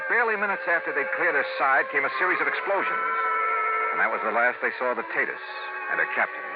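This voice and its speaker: A tinny vintage radio recording of a man playing a hardboiled 1950s private investigator. He is wry and clipped, his pitch moving widely as he tells his story, with music prominent behind him. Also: natural voice. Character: hardboiled male freelance insurance investigator, wry and probing. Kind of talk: 1950s radio detective drama, first-person narration.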